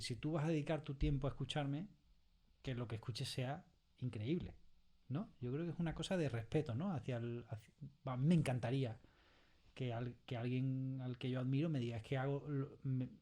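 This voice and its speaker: A man's voice: medium pitch, warm tone. A man with a Castilian Spanish accent in a casual studio interview, often adding tag questions to seek agreement. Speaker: audio drama creator being interviewed. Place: recording studio in Madrid.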